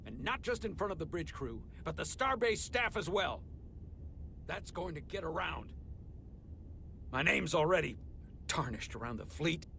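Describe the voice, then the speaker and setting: An actor playing a stern, authoritative starship captain with bruised pride in a sci-fi game. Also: male voice, a little high, very animated, unhurried, gravelly, long pauses, a bit bright, slight background noise, exaggerated voice. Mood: angry. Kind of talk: cinematic sci-fi game acting. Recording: dramatic music underneath.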